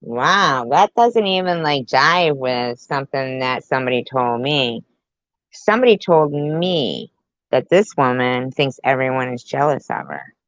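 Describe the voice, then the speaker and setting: A woman's voice: extremely low pitch, very animated, unhurried, no room sound, lo-fi sound. A woman doing sarcastic reaction commentary on a YouTube video, with mocking asides.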